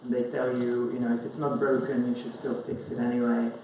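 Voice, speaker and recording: A man's voice: mid-range voice, flat delivery, brisk, a little mellow. A man from Croatia gives an informal conference presentation, explaining things in a hesitant, loosely structured way. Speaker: conference speaker, science journalist. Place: indoor conference room. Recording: very echoey room, distant mic, dull, faint noise.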